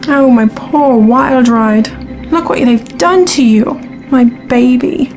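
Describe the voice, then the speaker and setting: A woman acting in a science fiction audio drama as a brash, wry young reporter and pilot with a sarcastic, expressive delivery. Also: high-pitched, takes it slowly, low-quality recording. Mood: shaken. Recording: music behind the voice.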